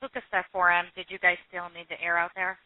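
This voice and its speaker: A woman's voice: fairly low pitch, completely flat delivery, fast talker, no room sound. Muffled woman dispatcher, clipped and businesslike. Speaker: police radio dispatcher. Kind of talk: terse procedural radio dispatch talk. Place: dispatch room, recorded over phone and radio lines. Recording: narrow muffled phone and radio line.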